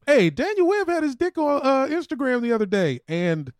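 A man with a high voice and very lively intonation, trading casual jokes as a podcast co-host. He is slangy, profane and irreverent, and the sound is close and dry. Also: normal speed, no echo. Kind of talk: casual comic banter.

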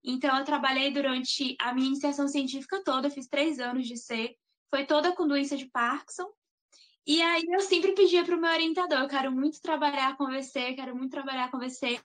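A woman. Her voice sits high, and she talks in a loose, chatty way, often ending her sentences with tag questions. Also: fairly even intonation, brisk, fairly bright tone. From Salvador, Bahia, Brazil. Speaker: guest, master's student. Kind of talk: interview answers.

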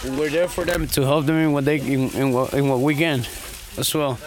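A man's voice: medium pitch, steady tone, a little fast, low-quality recording. A man from Guatemala who has just finished a two-hundred-mile race, exhausted and joking candidly with plenty of fillers outdoors. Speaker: ultramarathon runner. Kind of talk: casual on-course interview. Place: outdoors at a race aid station.

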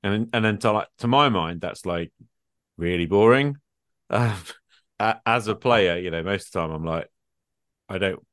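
A man's voice: lowish voice, normal speed, long pauses, a bit bright, no echo, clean studio sound. A man speaking as a guest in a casual discussion, informal and rambling, often checking in with the listener. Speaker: guest, experienced game player.

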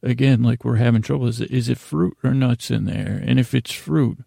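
A man hosting a bedtime podcast with a rambling, comedic monologue, halting and circling back as he stumbles over words. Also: medium pitch, creaky, dark tone, dry recording, clean studio sound. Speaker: podcast host.

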